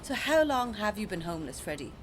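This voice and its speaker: A woman acting a radio news presenter in a radio play, formal, measured and politely inquisitive. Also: mid-range voice, big pitch swings, background noise, lightly acted. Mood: polite, probing. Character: polished, probing female radio news presenter.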